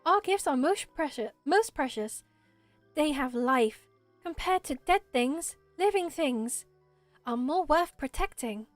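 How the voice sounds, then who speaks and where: A woman voicing a small, gentle, childlike forest spirit in a video game, solemn and earnest, with quaint, ceremonious phrasing. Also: sits high, expressive, takes it slowly, dry recording, soft background music. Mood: earnest, grateful. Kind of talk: amateur voice acting of video game dialogue.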